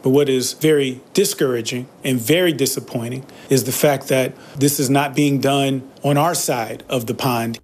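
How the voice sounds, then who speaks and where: Measured American politician, faint rumble. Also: male voice, fairly even intonation. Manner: deliberate, measured. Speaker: politician interviewee. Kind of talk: news soundbite.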